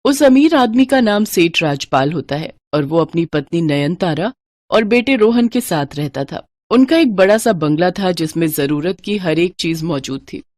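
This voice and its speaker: A woman's voice: fairly low pitch, animated, normal speed, darkish tone, dry recording, clean studio sound. A woman narrator reading a scripted story in a storytelling style.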